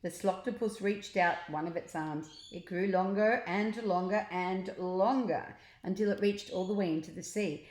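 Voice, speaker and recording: An older woman reading a children's storybook aloud as a grandmother would, with expressive, drawn-out emphasis, in a roomy recording. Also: mid-range voice. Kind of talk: storybook narration read aloud.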